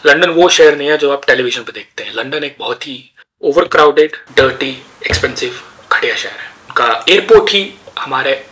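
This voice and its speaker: A young man from India telling stories about his student days abroad in a chatty, opinionated way, with many filler pauses. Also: mid-range voice, expressive, pushed and bright, slightly rough, poor audio. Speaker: podcast host. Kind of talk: casual storytelling monologue.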